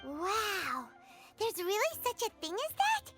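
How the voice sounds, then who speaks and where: A woman voicing a tiny, childlike fairy companion in a video game, squeaky, chirpy, eager and nosy. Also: wildly swooping pitch, slow pace, fairly bright tone, faint music behind, heavily acted. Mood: amazed, excited. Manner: refers to self in third person, chirpy. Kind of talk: video game character acting.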